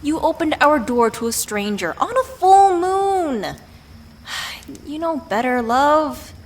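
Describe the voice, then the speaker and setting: A woman's voice: sits high, very animated, takes it slowly, full character voice. A woman playing a worried, affectionate witch who tenderly scolds a beloved partner in an ASMR roleplay audio drama. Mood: scolding. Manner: playfully exasperated, tender scolding with sighs. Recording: clear background ambient noise.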